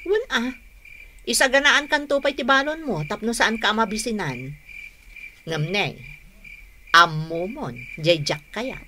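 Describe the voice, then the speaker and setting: Bright, brisk Ilocano wife. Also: female voice, on the high side, lively intonation, slight background noise. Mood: bossy, nagging. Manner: bossy, nagging, practical. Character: brisk, scolding, caring wife and mother. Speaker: radio drama actor. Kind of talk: Ilocano radio comedy drama acting.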